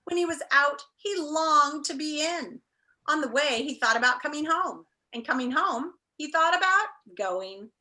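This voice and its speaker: Lady teacher on a video class, kinda high bright voice, enthusiastic. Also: animated, a little slow. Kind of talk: online class talk and read-aloud. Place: indoors, on a video call.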